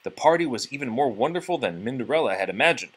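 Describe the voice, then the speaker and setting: A man's voice: medium pitch, lively intonation, quick pace, slightly rough. A man reading a children's storybook aloud as a parent, expressively, with explanatory asides to children.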